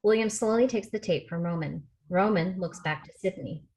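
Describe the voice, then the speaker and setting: Low-pitched woman reading stage directions. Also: normal speed, darkish tone. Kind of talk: scripted narration at a table read. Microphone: video call.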